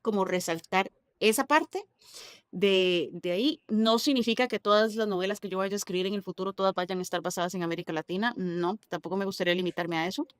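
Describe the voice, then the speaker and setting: A woman's voice: mid-range voice, fast talker, smooth, no echo. Chatty Costa Rican woman, dry sound. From Costa Rica. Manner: chatty, uses local slang. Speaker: guest novelist. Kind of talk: casual interview conversation.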